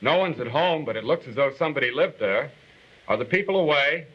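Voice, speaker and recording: Census enumerator, 1940s instructional film: courteous, official, slightly high male voice, muffled vintage soundtrack. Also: lively intonation, breathy edge. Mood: polite, businesslike. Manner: formal, deliberate, explanatory. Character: businesslike, polite male census enumerator questioning residents. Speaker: actor in a government training film. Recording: dull, lo-fi 1940 film soundtrack.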